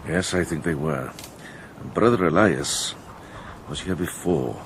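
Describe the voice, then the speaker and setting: An actor playing a shrewd, devout medieval monk who investigates, with a British accent and a measured, pondering delivery in a radio drama. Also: male voice, extremely low pitch, slight background noise. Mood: grave, searching. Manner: measured, hesitant pondering, gently authoritative.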